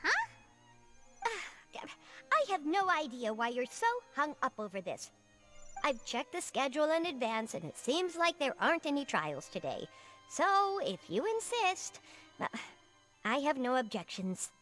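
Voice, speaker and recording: High-pitched, fussy little creature aide. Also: female voice, sing-song, a little slow, fairly bright tone, faint music behind, full character voice. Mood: exasperated, resigned. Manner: exasperated, officious, stammering when flustered. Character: small, fussy, flustered female Melusine creature, court aide. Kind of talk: fantasy video game voice acting.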